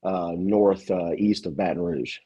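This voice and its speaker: A man from South Louisiana, a podcast guest talking in an easygoing, halting way. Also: low-pitched, mellow, husky, lo-fi sound. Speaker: gym owner guest. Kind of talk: casual conversation.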